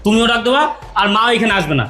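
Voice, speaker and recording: A man's voice: high voice, animated, fast, sharp tone. A man playing a devoted son back from abroad, who talks in an insistent, pleading way.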